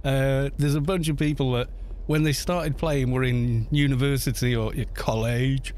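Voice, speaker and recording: A man's voice: mid-range voice, steady tone, moderate pace, darkish tone, slight background noise. A man in his fifties from Wales with a Welsh accent touched by northern English, chatting loosely and laughing easily as he streams a game. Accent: Welsh with some northern English. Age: 50s. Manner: chatty, rambling, laughs easily, reads chat aloud. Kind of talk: live stream commentary while playing.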